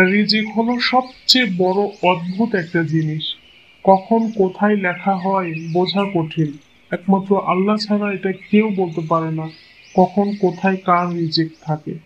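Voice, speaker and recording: A man's voice: sits high, normal speed, lightly acted. A man voicing a patient, kindly old village father-in-law in a cartoon fairy tale, speaking in a reassuring, homely way. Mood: resigned, advising. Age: older. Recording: muffled lo-fi audio, clear background noise.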